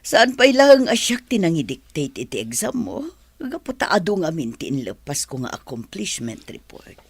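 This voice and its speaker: A woman's voice: sits low, very animated, normal speed, slightly rough, dry recording, exaggerated voice. A woman playing a weary, ailing teacher and loving mother in a melodramatic audio drama. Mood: weary, dazed.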